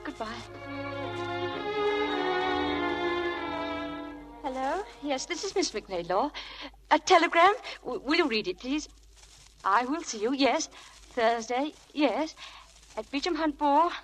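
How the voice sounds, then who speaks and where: A high, girlish-pitched young gentlewoman in an old radio romance. She sounds shy, sincere and lovestruck, often hesitant, with faint music beneath the broadcast. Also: lively intonation, unhurried. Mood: eager, then wistful. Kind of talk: 1940s radio drama acting.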